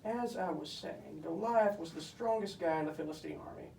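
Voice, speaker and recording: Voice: medium pitch, normal speed, gravelly, dark tone, close to an everyday voice. A boastful, bossy puppet kid telling comic Bible stories , voiced by a performer. The delivery is pompous, emphatic and easily exasperated. It is heard from a distance in an echoey room with faint noise. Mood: self-important storytelling. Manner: pompous, emphatic, mock-scholarly.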